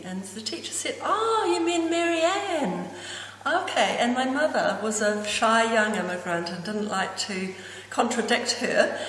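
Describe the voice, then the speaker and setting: A woman's voice: medium pitch, a bit bright. Older New Zealand woman in an echoey room. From Auckland, New Zealand. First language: Danish. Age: 60s. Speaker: interviewee. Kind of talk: personal reminiscence to camera. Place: indoors, hall-like room with hard surfaces. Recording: echoey reverberant room, clear background noise.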